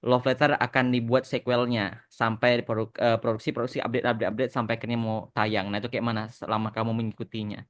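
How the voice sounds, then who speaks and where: A man's voice: mid-range voice, little pitch movement, quick pace, few pauses, no room sound, clean studio sound. An Indonesian man hosting a casual podcast interview, repeating short phrases and slipping in bits of English. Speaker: podcast host and animation creator.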